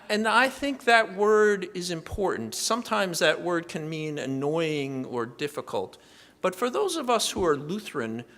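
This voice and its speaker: A man introducing the keynote speaker at a church event, speaking earnestly and a little haltingly. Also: on the high side, fairly bright tone. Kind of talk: introduction speech.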